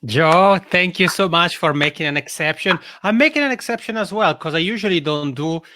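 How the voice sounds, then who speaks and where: A man from the Italian-speaking part of Switzerland with a Swiss Italian accent, a podcasting consultant answering interview questions in an articulate, measured way, speaking English as a second language. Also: on the high side, lively intonation, bright, forceful tone, a little hiss or hum. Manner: articulate, measured, explains in lists.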